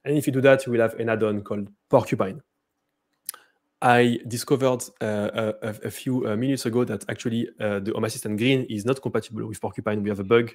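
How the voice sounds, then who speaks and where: A man with a French accent giving a live software demo, hesitant, with many fillers and restarts. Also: on the low side, fairly even intonation, fast talker. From France. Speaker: presenter.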